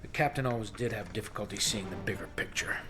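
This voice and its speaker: An actor playing a cold senior military commander, curt and authoritative, in a game cutscene. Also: male voice, lowish voice, fast, music in the background, lightly acted. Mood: stern, dismissive. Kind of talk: dramatic game cutscene acting.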